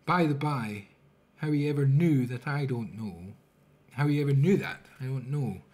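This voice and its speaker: Gentle grieving Victorian father, read by a man. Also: mid-range voice, normal speed, lightly acted. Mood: warm, subdued.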